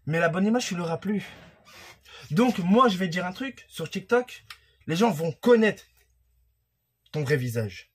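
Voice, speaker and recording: A young man from France delivers a heated, accusing rant straight into the camera. His voice sits high for a man and comes out husky. He speaks from a room at home. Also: lively intonation, measured pace, pauses often. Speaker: YouTuber commentator. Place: room at home with a creaky wooden floor.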